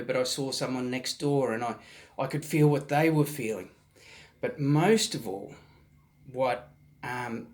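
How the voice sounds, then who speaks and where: A slightly hoarse man in his late forties speaks slowly, pausing often and restarting his sentences. His pitch sits in a middle range, and he is recovering from a virus. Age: 40s. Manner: halting, many ums and restarts, soft-spoken. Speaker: vlog host. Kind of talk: casual personal storytelling.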